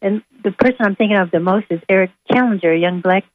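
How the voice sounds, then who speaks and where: A woman calling in to a radio show over the phone, speaking off the cuff in a halting, hesitant way. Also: mid-range voice. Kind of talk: unscripted call-in comment. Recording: tinny narrow phone line.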